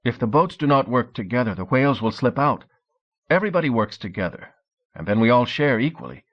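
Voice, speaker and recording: A man's voice: mid-range voice, fairly quick, muffled recording, voice pushed up, close to an everyday voice. A man voicing a cheerful, eager young Norse man with a thick accent. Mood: explaining. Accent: Norse-accented English. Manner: excited, eager.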